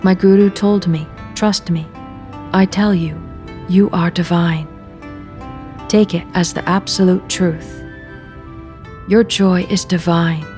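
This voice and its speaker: Very slow, low-voiced woman guiding meditation. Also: a little mellow. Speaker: meditation guide. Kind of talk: guided meditation, slow spoken readings with long pauses. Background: meditation music at beginning and end.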